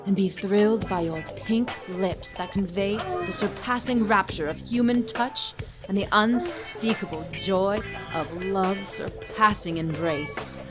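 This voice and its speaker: A woman playing a highly educated, seductive porn star reciting scripted lines in a comedy sketch. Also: a little slow, natural voice. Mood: poised, coy. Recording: loud music under the voice.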